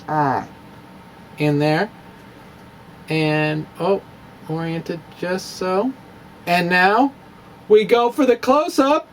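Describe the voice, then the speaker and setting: A man giving a playful, self-mocking demonstration of math with clay and pipe cleaners. He often pauses and restarts while he works with his hands. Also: a little high, a little hiss or hum. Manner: wry, self-mocking, chuckles at own jokes. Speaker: physics blogger demonstrating math.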